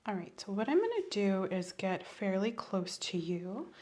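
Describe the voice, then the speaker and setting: A soft-spoken woman doing an ASMR medical exam roleplay, often dropping to a whisper as she gives gentle, reassuring instructions. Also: medium pitch, sing-song, normal speed. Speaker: ASMR creator playing a doctor.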